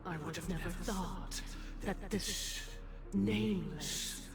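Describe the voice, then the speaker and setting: An actor playing a stately, imperious master of dreams in a video game, speaking formally and with lofty deliberation. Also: male voice, high-pitched, slow, over music. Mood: lofty, musing. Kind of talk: dramatic video game dialogue.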